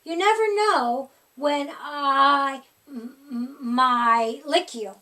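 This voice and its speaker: A big scruffy storybook boy monster, acted out by a woman in a high voice, a little far from the mic. He slurps, stammers and drags his words, trying hard to sound scary but coming out whiny and bumbling. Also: extremely slow, sharp tone, heavily acted. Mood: bumbling menace.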